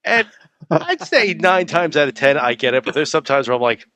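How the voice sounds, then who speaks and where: A man's voice: a little high, wildly swooping pitch, a little fast, pushed and bright, dry recording. A male writer chatting casually as an interview guest, telling wry, self-mocking anecdotes. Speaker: guest novelist.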